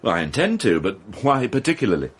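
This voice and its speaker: A man's voice: on the low side, wildly swooping pitch, fast talker, breathy edge, full character voice. An actor playing a blunt, irritable male police inspector in a radio mystery drama, questioning people in a brusque, probing way. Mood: measured, probing.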